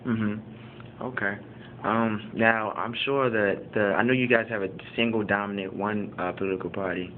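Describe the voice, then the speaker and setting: A man interviewing a fellow student in a casual, halting way, restarting his questions and using many fillers. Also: lowish voice, fairly even intonation. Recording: tinny phone-like line with faint background noise.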